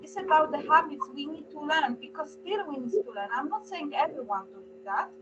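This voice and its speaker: High, unhurried Polish-accented woman, muffled and noisy. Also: expressive. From Poland. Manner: hesitant, earnest. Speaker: panel speaker. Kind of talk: informal panel talk. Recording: dull lo-fi sound, humming noise.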